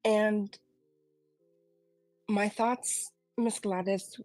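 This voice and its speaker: A woman from the Philippines, a kindergarten teacher whose first language is not English, speaking in an online panel with halting, earnest remarks. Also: medium pitch, fairly even intonation, a little mellow, no room sound, faint music behind. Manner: halting, searching for words, earnest.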